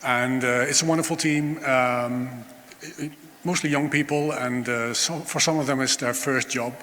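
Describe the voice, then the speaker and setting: A man's voice: mid-range voice, steady tone, moderate pace, sharp tone. Hesitant male co-founder on stage. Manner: hesitant, many uh fillers. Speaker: startup co-founder and CTO. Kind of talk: onstage interview answers. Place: large event hall on stage. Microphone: throwable catch box mic. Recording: hall reverb with faint room noise.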